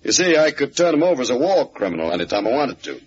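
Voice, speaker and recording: Confident crime boss, old radio. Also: male voice, medium pitch, very animated, fast, husky. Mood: scheming. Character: burly male crime boss, confident and ruthless. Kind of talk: 1940s radio serial acting. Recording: dull old radio recording.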